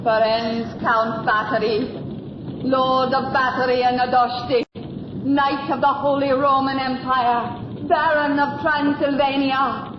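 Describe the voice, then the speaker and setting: Eerie witch of the woods, high, slow and portentous, gothic radio horror. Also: level tone, lo-fi sound. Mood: incantatory. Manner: incantatory, solemn, portentous. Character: eerie forest witch, solemn summoner of the dead. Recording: echoey, distant, noisy vintage radio recording.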